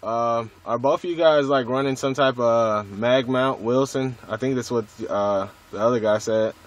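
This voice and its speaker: A man's voice: mid-range voice, normal speed, very noisy. An American man chatting casually as a radio hobbyist, in a laid-back, slangy way with some swearing.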